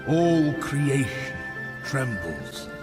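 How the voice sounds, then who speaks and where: A man's voice: medium pitch, very animated. An actor playing an ancient, weary giant craftsman in a video game, grave, wise and solemn in manner. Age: older. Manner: slow, solemn, portentous. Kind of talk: video game cutscene acting. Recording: long reverb with loud music.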